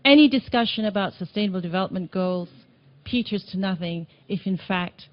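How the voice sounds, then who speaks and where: A woman's voice: mid-range voice, muffled recording. Earnest woman presenting at a conference. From Tanzania. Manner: earnest, speaks with conviction and halting restarts. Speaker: report coordinator presenting. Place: meeting room.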